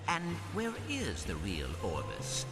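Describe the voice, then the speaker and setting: A man's voice: lowish voice, huge pitch swings, takes it slowly, over music, full character voice. A man playing a polite, earnest, intellectual little robot in a video game cutscene, with precise, formal speech. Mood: curious.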